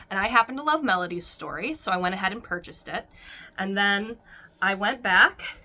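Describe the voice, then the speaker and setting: A woman chatting casually as a doll collector vlogger, rambling with restarts, her throat still hoarse and her nose sniffly from being sick. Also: mid-range voice, sharp tone. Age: adult. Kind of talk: casual chat to camera. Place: warm room at home with a ceiling fan.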